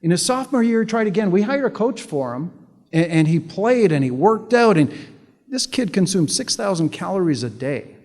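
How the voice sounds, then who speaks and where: Male speaker from Canada, sarcastic stump-speech delivery, voice on the higher side, reverberant hall. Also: big pitch swings, normal speed, a little mellow. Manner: sarcastic, conversational, repeats words when restarting. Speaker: public speaker. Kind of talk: political speech to an audience.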